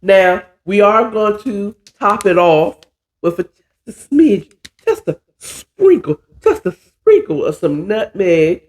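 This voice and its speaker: A hoarse, stuffy-nosed man hosting a casual chat while cooking, drawling and calling his listeners "child". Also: sits high, sing-song, slow. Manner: chatty, drawling, says "child" a lot. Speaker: podcast host.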